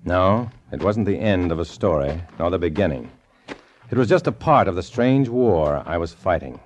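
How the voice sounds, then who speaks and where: Hard-boiled undercover man, low, animated voice. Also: moderate pace, a little hiss or hum. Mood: grim narrating. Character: tough undercover agent narrating his story, posing as communist. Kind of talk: 1950s radio drama acting and narration. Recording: 1950s radio recording, music underneath.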